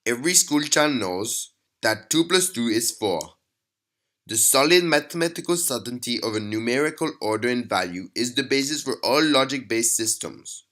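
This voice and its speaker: Man playing a hushed, earnest professor. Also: medium pitch, expressive, moderate pace, lightly acted. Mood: grave explaining. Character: earnest male mathematics professor explaining an unsettling discovery. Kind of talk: audio-log monologue.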